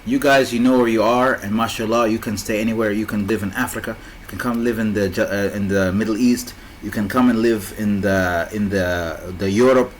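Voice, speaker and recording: A man's voice: on the low side, slight background noise. A young man from South Sudan telling stories in casual chat, hesitant with many fillers.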